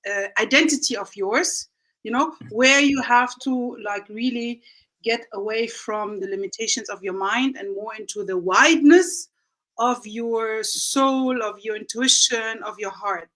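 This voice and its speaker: Bright, highish-voiced woman in her late forties. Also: unhurried, poor audio. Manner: rambling, searching for words, emphatic repetition. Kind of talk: casual reflective spiritual chat.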